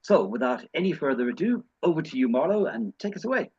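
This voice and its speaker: A man hosting an online session, introducing the guest speaker in a halting way with many restarts. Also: a little high, very animated, a little mellow, slightly rough, dull audio.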